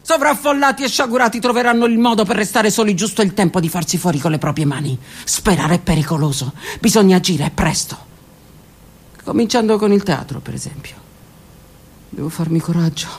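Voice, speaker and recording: A woman's voice: medium pitch, a little fast, husky. A woman performing an introspective theatrical monologue for radio, delivered dramatically and at times dropping to a whisper. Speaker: stage performer. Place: stage or studio performance.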